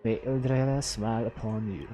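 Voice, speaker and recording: A man's voice: lowish voice, steady tone, moderate pace, dark tone, low-quality recording. A man with a Filipino accent streams a video game. He talks casually and off the cuff, sometimes reading dialogue aloud. Faint game music sits behind his voice. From Philippines. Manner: code-switches between English and Tagalog.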